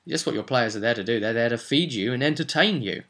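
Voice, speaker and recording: Bright, emphatic man talking tabletop gaming. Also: mid-range voice, lively intonation, quick pace. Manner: chatty, emphatic, rhetorical questions. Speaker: host, game master. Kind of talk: informal tabletop gaming advice monologue.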